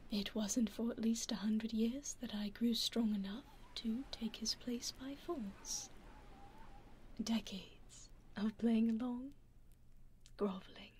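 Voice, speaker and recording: A British woman playing a centuries-old vampire lady, aloof yet caring, in a breathy, hushed whisper close to the microphone for ASMR roleplay. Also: fairly high pitch, steady tone, a little hiss or hum. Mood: cool, bitter. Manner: hushed, unhurried, intimate. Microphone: close binaural microphone.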